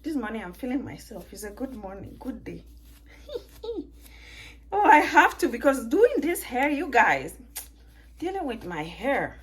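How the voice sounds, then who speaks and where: A woman's voice: on the high side, big pitch swings, a little slow, long pauses. A woman in her thirties with a mixed Ghanaian, Italian, British and American accent, chatting and joking to camera at home while detangling her hair. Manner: chatty, joking, laughs often, asks viewers questions. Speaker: vlogger.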